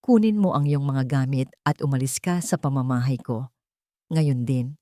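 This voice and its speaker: A woman voicing a cold, stern wealthy father in an audio drama, calm and hard in delivery. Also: extremely low pitch, normal speed, no echo, studio quality, pitched below the performer's own voice. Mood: cold, final.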